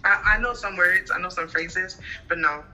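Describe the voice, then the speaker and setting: A woman's voice: lowish voice, sharp tone, lo-fi sound, faint music behind. A young woman from Honduras, a guest in a casual video call interview, talking from a phone in a small bedroom and filling her speech with "like". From Honduras, Garifuna. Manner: casual, fast, peppered with "like". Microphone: phone at arm's length on video call.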